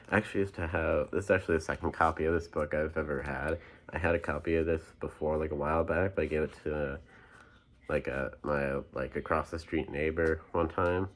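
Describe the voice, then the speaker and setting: A young man chatting casually on his vlog about his book collection, rambling with lots of ums and restarts while getting over a cold with a stuffy nose. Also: a bass voice. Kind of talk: casual chat.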